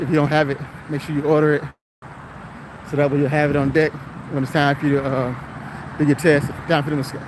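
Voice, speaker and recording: An American man rambling casually on a livestream, repeating his phrases for emphasis in an encouraging, preacherly way. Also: fairly high pitch. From South Florida, United States. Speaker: livestreamer and commercial driver. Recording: noisy outdoor wind and traffic noise.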